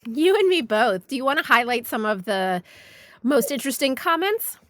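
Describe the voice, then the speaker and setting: A woman's voice: sits high, sing-song, moderate pace, fairly bright tone, no room sound. A woman hosting a podcast and interviewing in a conversational way. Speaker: podcast host.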